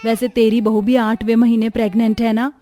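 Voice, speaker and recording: A woman's voice: on the high side, flat delivery, a little fast, dark tone, close to an everyday voice. A woman voicing a kindly, sensible grandmother neighbour in a cartoon story, good-naturedly chiding and advising. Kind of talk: acted Hindi cartoon story dialogue.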